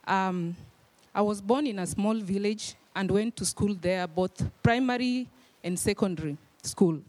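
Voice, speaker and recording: A young woman with a Kenyan accent gives her testimony in church. She speaks modestly and hesitantly, pausing on soft fillers. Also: medium pitch. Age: young adult. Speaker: guest speaker. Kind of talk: personal testimony. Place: church hall.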